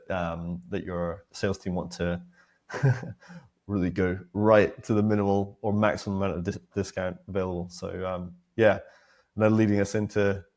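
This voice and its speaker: Croaky early-morning man from Australia. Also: sits low, darkish tone. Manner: friendly, laughs often. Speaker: webinar guest, co-founder. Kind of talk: casual webinar chat.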